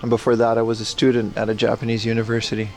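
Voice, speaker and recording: A young man livestreaming as he browses a secondhand shop, chatting excitedly and thanking viewers, recorded on a handheld phone. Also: mid-range voice, very fast. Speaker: streamer. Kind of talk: casual IRL livestream chat.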